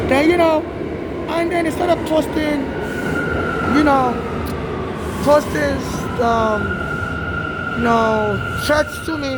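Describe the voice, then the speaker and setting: A man from Nigeria with a Nigerian-tinged American accent, talking in an urgent, repetitive street monologue with many restarts. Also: high voice. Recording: street noise outdoors.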